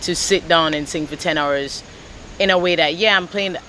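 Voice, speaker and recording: A woman's voice: low voice, normal speed, slight background noise. A woman from Jamaica, a singer, chatting casually in an interview with lots of "like" and "you know.". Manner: relaxed, rambling, with many "like" and "you know" fillers. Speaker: singer and guitarist guest.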